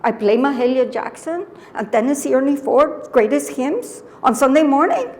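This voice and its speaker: Storyteller voicing a carefree older aunt, high, reverberant hall. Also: female voice, lively intonation, normal speed, a little mellow. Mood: breezy. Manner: breezy, mixes Spanish into English.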